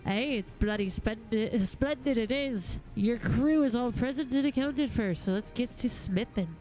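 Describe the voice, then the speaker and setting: Woman as gruff male smith, cranky, foul-mouthed, rustic, fantasy game dialogue, background music. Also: a little high, measured pace, dark tone, natural voice, poor audio. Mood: eager.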